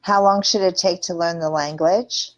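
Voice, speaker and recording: A woman's voice: on the low side, fairly even intonation, normal speed, dull audio. A woman from the United States working as an English conversation tutor, speaking in simple short phrases and patiently repeating and explaining. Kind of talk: one-to-one conversation lesson.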